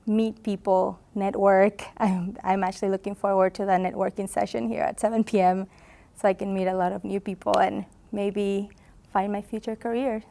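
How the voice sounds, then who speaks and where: A young woman from Honduras speaks in a recorded booth interview at a conference. She talks earnestly, pausing on fillers and laughing often. Her voice sits at a middle pitch. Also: creaky, warm tone. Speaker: graduate student.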